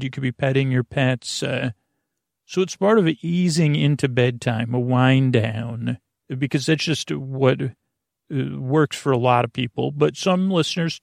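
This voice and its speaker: A man hosting a bedtime podcast, talking in a meandering, halting way and often correcting himself as he winds listeners down for sleep. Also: mid-range voice, creaky, no echo, clean studio sound. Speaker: podcast host. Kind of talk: rambling bedtime podcast intro.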